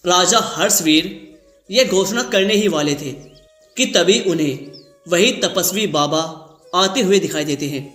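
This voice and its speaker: A man's voice: sits high, unhurried, a bit bright. A man narrating a scripted cartoon story as its storyteller. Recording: roomy echo over background music.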